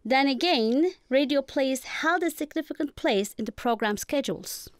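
A woman with a Turkish accent, a native Turkish speaker, giving formal, measured, lecture-like answers as a guest professor. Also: high voice, animated, dry recording. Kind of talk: prepared lecture-like interview answers.

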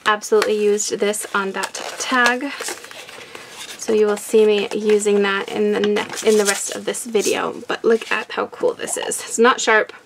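Raspy chatty woman crafter. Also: mid-range voice, normal speed, background noise. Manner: chatty, many "um"s, excitable. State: raspy from recent illness. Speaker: craft channel host. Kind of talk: casual product unboxing chat.

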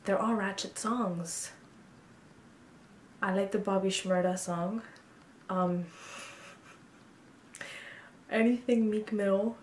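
A woman's voice: mid-range voice, fairly even intonation, slow, long pauses, reverberant, slight background noise. A woman of twenty-two chatting frankly to camera about herself in a casual get-to-know-me video, with lots of ums and likes. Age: 20s. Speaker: beauty vlogger. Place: small bedroom, indoors.

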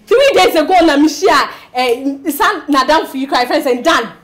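A woman's voice: high voice, animated, moderate pace. An actress playing a distressed, vengeful young wronged girlfriend in a film drama, speaking with a Ghanaian accent and an exasperated, complaining manner. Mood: upset. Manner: exasperated, code-switching, complaining. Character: distressed, vengeful woman wronged by her boyfriend. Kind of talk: emotional film drama dialogue in Twi and English.

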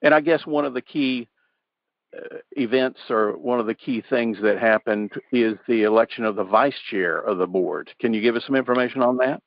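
A man's voice: mid-range voice, moderate pace, no echo. A man hosting a church radio interview, asking questions in a plain conversational way. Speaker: radio host. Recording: dull lo-fi sound.

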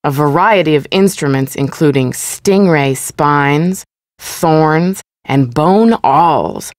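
A woman with a notably low voice reads museum exhibit narration for a video game. Her delivery is formal, measured and explanatory, and the sound is very dry. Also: expressive, no echo.

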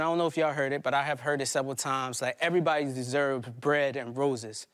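A middle-aged man delivers an autobiographical monologue live on stage in a halting, conversational way. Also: on the high side, a bit bright. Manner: conversational, halting, prone to chuckling. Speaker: poet and storyteller on stage. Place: indoor community hall stage before a small audience.

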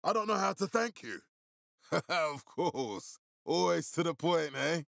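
An actor playing a stern, middle-aged military leader in a post-apocalyptic video game, speaking with formal, deliberate authority in a husky, gravelly voice. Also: male voice, fairly high pitch, sing-song, a bit bright, dry recording, studio quality, full character voice. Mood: elated. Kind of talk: video game character dialogue.

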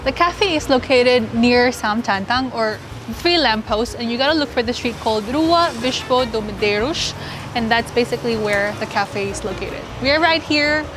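A woman's voice: fairly high pitch, lively intonation, moderate pace, noisy background. A young woman hosting a casual food vlog, chatting upbeat while tasting dishes in a cafe on a lapel mic. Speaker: food vlogger host. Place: small cafe indoors and street outdoors.

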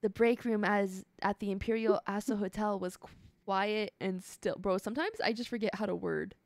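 A woman's voice: medium pitch, normal speed, no echo. A woman streaming casually, reading a visual novel aloud and reacting with blunt, sweary exclamations. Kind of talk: casual co-op stream chat and read-aloud narration. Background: soft game music.

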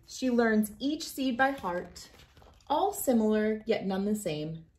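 Woman, thirties, children's read-aloud host, expressive storybook delivery, mid-range voice. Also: medium pitch, slow. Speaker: host reading a picture book aloud.

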